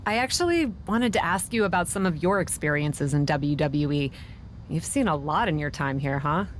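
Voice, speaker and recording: A woman's voice: low voice, lively intonation, normal speed, slight background noise. A woman playing a curious, determined young wrestler in a video game cutscene, conversational and earnest.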